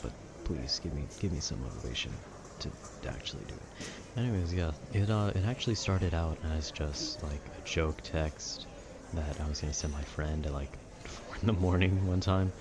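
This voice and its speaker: A young man giving a calm, informal, wry rambling monologue about video games. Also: extremely low pitch, normal speed, noisy background. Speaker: video creator.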